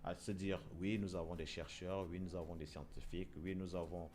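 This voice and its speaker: Guy hosting a chat show, low voice, keeps repeating words and saying euh. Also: flat delivery, fairly quick, a little mellow, slight background noise. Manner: hesitant, many repeated words and fillers. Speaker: show host. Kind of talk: casual panel talk. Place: Europe.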